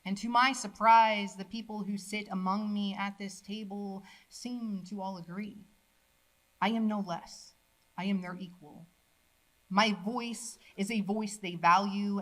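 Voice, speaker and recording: A woman's voice: medium pitch, poor audio. A woman at a college event reading her poems aloud in a declamatory, rising voice, with casual remarks to the audience in between. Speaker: poet reading her own work. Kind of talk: spoken-word poetry reading with asides.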